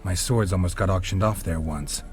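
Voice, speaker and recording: An actor playing a weary, gruff male monster hunter with terse, dry, sarcastic delivery in a video game. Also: low voice, moderate pace, lightly acted. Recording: game cutscene with music score.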